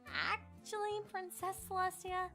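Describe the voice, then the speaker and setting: A woman voicing an anxious, excitable young magical unicorn pupil in a cartoon audio drama, earnest and flustered. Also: very high voice, measured pace, heavily acted. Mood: hesitant, earnest. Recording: music behind the voice.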